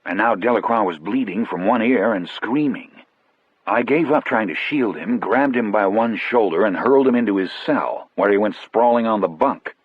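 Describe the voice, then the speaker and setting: A man's voice: lowish voice, normal speed, breathy edge, dry recording, narrow, tinny audio. A man narrating an audiobook in the first person, as a storyteller.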